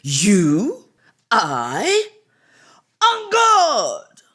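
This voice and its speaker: A pompous aristocratic duellist of radio theatre. The high voice is husky and swoops extravagantly, dragging out each sneer with blustering indignation. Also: female voice, huge pitch swings, very slow, fairly bright tone, full character voice. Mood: outraged, challenging. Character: haughty, blustering fashion-obsessed aristocrat duellist.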